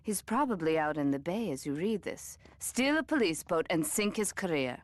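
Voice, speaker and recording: A low female voice for a Yakuza boss in a video game. She is cool and commanding, issuing orders with clipped composure and sly menace. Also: big pitch swings, moderate pace. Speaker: crime boss giving missions. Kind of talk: video game cutscene acting.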